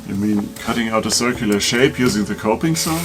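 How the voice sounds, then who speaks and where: A man's voice: fairly low pitch, brisk. A man hosting a scripted comedic craft video, asking eager questions with self-deprecating humor, with music playing behind. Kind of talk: scripted comedic tutorial dialogue. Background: background music.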